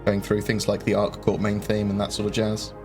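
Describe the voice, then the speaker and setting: A man hosting a live-stream Q&A, rambling through viewer questions with lots of ums and quick jokes. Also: on the low side, completely flat delivery, darkish tone. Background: faint game music.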